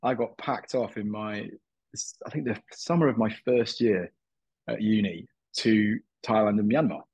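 A man in his thirties from Manchester, a guest chatting in a relaxed, self-deprecating way with many small fillers. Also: fairly low pitch, normal speed, breathy edge, no echo, dull audio. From Manchester, England. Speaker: guest, documentary maker. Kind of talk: casual interview chat.

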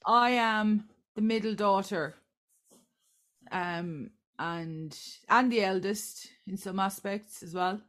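A woman's voice: medium pitch, slow, fairly bright tone. A woman in her late forties from Ireland, a podcast guest chatting casually with her family and laughing often. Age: 40s. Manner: laughing, self-deprecating.

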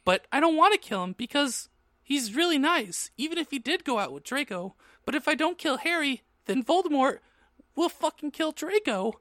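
Overwrought teen girl, played by a man, angry and whiny, very high, swooping pitch, dry close sound. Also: big pitch swings, normal speed, fairly bright tone, no room sound, full character voice. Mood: angry, distraught. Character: angry, distraught, foul-mouthed teenage girl. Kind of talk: mock-dramatic fan fiction reading.

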